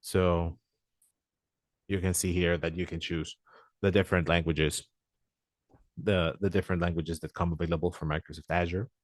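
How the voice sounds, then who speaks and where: Deep-voiced man presenting a webinar. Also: flat delivery, normal speed, pauses often, no echo, clean studio sound. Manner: hesitant, frequent "uh" fillers. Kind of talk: software demo walkthrough.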